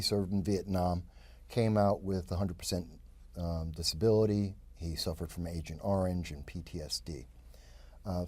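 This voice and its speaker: Fifties New Yorker, interview guest, husky and loose, full of restarts. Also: male voice, low-pitched, warm tone, dry recording. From the Bronx, New York. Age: 50s. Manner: rambling, frequent fillers and restarts. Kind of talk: casual interview talk.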